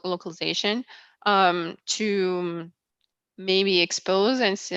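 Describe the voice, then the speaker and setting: A woman's voice: mid-range voice, fairly bright tone, no echo. Woman, from Brazil, non-native English, hesitant, many fillers, lo-fi call audio. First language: Portuguese. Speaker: guest speaker. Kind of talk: casual Q&A after a talk.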